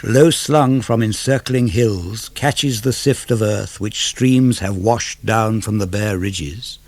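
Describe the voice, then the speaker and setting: A man reading poetry for radio in a measured, declamatory way, with rising and falling phrases. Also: mid-range voice, no room sound. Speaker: poetry reader. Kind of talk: dramatic radio verse reading.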